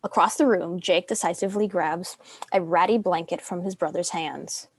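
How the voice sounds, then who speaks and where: A woman's voice: lowish voice, normal speed, breathy edge, no room sound. A young adult woman reading scripted stage directions as a narrator.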